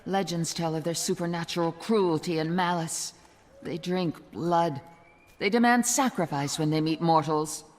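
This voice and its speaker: An actress playing a wary, earnest resistance agent in a fantasy video game, speaking with foreboding. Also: female voice, on the low side, moderate pace, lightly acted. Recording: reverberant hall with music behind.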